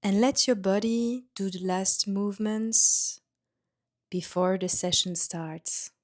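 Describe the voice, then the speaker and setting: Slow-paced woman guiding a meditation. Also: mid-range voice, steady tone. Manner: gentle, soothing, unhurried instruction with pauses. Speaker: yoga nidra guide. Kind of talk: guided meditation.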